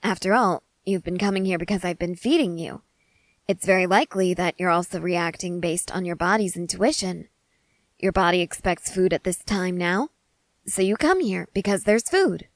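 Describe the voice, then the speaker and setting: A woman playing an excitable, curious scientist in a scripted ASMR roleplay, speaking in eager, wordy, formal and apologetic phrases. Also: fairly low pitch, moderate pace, dry recording, natural voice. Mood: earnest, fascinated.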